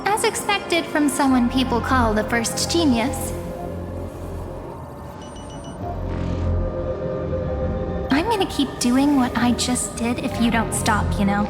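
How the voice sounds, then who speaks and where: An actress playing a playful, teasing young sprite companion in a video game. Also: female voice, fairly high pitch, in a large hall, close to an everyday voice. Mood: teasing, firm. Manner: teasing, light-hearted.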